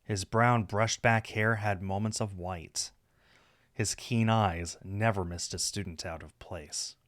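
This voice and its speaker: A man's voice: lowish voice, fairly even intonation, takes it slowly, no echo. A man reading story narration aloud from a script. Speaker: streamer reading a visual novel aloud.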